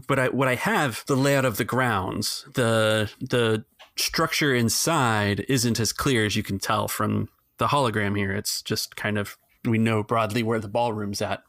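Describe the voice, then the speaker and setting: A man running a tabletop game as game master, explaining the scene in a rambling, halting way. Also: on the low side, fairly even intonation, creaky, fairly bright tone, no echo, clean studio sound.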